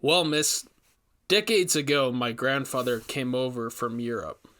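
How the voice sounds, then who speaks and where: A man's voice: mid-range voice, a little slow, pushed and bright. A man voicing an earnest, troubled young forest ranger in a storybook reading, thoughtful and hesitant. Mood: haunted, earnest.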